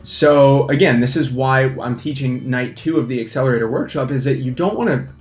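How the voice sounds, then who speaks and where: Energetic young man, echoey room. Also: medium pitch, fairly quick. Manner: energetic, animated hand gestures, lots of "right?". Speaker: fly fishing instructor and podcast host. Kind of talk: casual advice talk to camera. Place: small indoor studio against a plain backdrop. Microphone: desk microphone in front.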